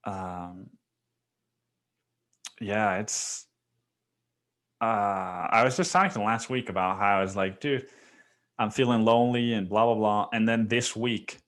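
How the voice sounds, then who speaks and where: A man's voice: on the low side, fairly even intonation, slow pace, pauses often, a bit bright. A young man hosting his own podcast, talking alone in a loose, rambling way, full of swearing and "dude". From Mexico. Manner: rambling, profane, filler-heavy with repeated "dude". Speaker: podcast host. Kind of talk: casual solo monologue.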